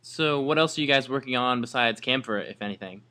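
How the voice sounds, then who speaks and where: A man's voice: medium pitch, brisk, sharp tone. A man acting as interviewer, asking questions in a casual, conversational way.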